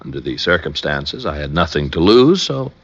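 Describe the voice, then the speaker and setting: A man's voice: extremely low pitch, sing-song, moderate pace, fairly bright tone, slightly rough, heavily acted. An actor playing a retired, older businessman under suspicion, courteous but hesitant and stammering, in old-time radio style. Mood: uneasy. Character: uneasy, polite retired businessman, evasive suspect and husband. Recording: dull, muffled old radio recording.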